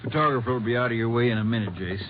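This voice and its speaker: An actor playing a folksy, sympathetic small-town Texas sheriff in a 1950s radio drama. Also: male voice, medium pitch, racing, close to an everyday voice. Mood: helpful. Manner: folksy, easygoing. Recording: muffled, noisy old radio broadcast.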